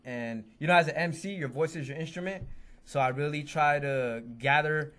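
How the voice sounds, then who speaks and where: A man's voice: a little high, normal speed, fairly bright tone. A man whose first language is Spanish, a rapper guest on a podcast, talking earnestly and sincerely with many restarts. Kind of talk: casual interview chat.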